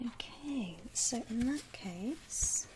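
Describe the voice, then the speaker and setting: A woman softly whispering in an ASMR roleplay as a polite, reassuring shop assistant helping a customer. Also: on the high side, animated, drawn-out words, rough recording. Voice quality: soft, breathy whisper. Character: courteous, obliging female coffin saleswoman.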